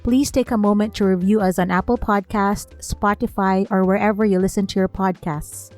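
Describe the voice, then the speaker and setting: A woman hosting a podcast, reading a scripted intro and outro and asking interview questions in a measured, read-aloud way. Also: mid-range voice, fairly even intonation, darkish tone, faint music behind. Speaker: podcast host.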